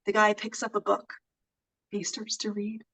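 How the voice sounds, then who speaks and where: A woman's voice: medium pitch, completely flat delivery, normal speed, pushed and bright. A woman co-hosting a casual movie review over a remote call, speaking hesitantly with many fillers. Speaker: co-host. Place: in California. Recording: voice distant from the mic.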